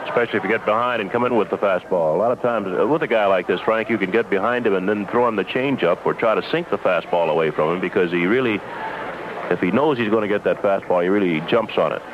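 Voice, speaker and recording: A man's voice: lowish voice, quick pace, lo-fi sound. A man giving conversational color commentary on a baseball game, on a vintage radio broadcast. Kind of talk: conversational sports analysis. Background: stadium ambience and noise.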